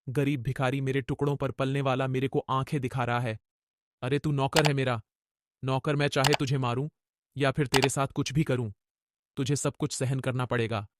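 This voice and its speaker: A man voicing a harsh, greedy food-cart owner who bullies and shouts at his worker, in a cartoon story. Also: mid-range voice, little pitch movement, moderate pace, background noise, lightly acted. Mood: contemptuous. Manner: scornful, shouting. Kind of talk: cartoon voice acting.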